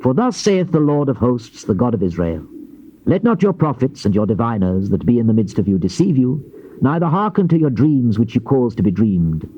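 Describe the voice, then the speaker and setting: An actor playing a grave Old Testament prophet in a dramatized scripture reading, declaiming in measured, archaic phrases. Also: male voice, medium pitch, very animated, warm tone, natural voice. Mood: solemn, assuring. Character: solemn male Old Testament prophet proclaiming divine judgment. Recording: dull, noisy old cassette tape.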